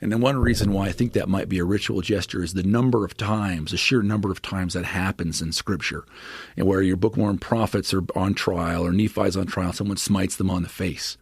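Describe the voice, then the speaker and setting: A man with a gravelly, creaky voice walks through his ideas as a podcast guest, talking with eager, lecturing energy. He keeps checking in with quick "right?" tags. The sound is close and dry, with no room around it. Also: low-pitched, steady tone, no room sound. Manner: enthusiastic, lecturing with many asides and "right?" tags. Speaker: podcast guest, scripture scholar. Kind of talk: explanatory podcast conversation.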